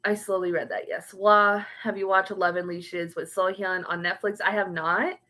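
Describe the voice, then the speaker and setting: A woman's voice: medium pitch, flat delivery, normal speed, bright, forceful tone. Young female streamer, chatty and meandering, reads chat aloud. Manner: rambling, trails off searching for words. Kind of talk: casual livestream chat.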